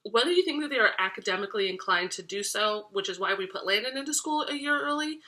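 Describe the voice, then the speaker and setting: A woman's voice: medium pitch, expressive, fast, bright, forceful tone. A young woman in her twenties, congested from a cold, chatting casually to camera about parenting, with many ums and restarts. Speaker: parenting vlogger.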